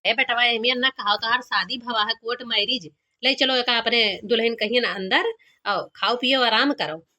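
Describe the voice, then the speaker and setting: A woman's voice: on the high side, normal speed, sharp tone. A woman voicing a coaxing, practical village mother with an Awadhi accent in a cartoon comedy. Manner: coaxing, questioning.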